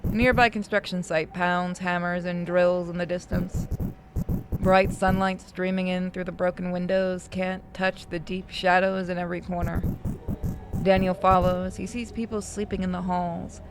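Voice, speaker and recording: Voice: medium pitch, level tone, normal speed, noisy background. A streamer narrating a horror visual novel aloud and breaking off for casual asides.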